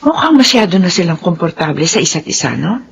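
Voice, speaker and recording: Dull-sounding, animated, suspicious mother. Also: lowish voice, quick pace, creaky, slightly rough, heavily acted. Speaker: radio drama performer. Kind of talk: radio drama dialogue. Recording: dull, slightly noisy radio sound.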